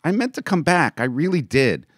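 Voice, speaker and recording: A man voicing an earnest, polite eight-year-old boy who cries easily, in a high childlike voice. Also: sing-song, normal speed, husky, no room sound, clean studio sound. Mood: pleading child.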